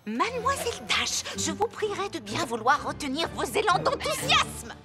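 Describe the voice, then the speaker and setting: A prim, strict cartoon schoolmistress pony. Her high voice swoops dramatically through pompous, formal phrases. Music plays underneath. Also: huge pitch swings, moderate pace, full character voice. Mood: strict, explaining. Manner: formal, pompous, fussy articulation. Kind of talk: animated cartoon voice acting.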